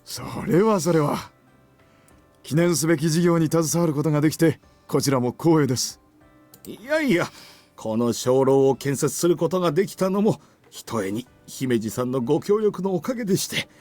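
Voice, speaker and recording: A man playing a courteous middle-aged gentleman in a Japanese visual novel, speaking formally and politely. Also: on the high side, animated, quick pace, faint music behind, close to an everyday voice. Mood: polite, genial.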